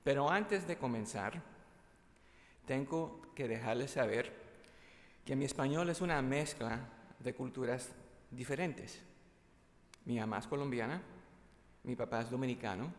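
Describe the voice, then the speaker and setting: A man from the United States opening a formal conference presentation, polite and courteous, modest about his own Spanish. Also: medium pitch. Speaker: military conference speaker. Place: large conference hall. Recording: long hall reverb.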